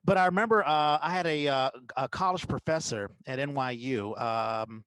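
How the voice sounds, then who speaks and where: Podcast host, male, middle-aged. Conversational and rambling, medium pitch, very dry acoustic. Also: moderate pace, fairly bright tone, smooth, dry recording. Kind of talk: casual interview conversation.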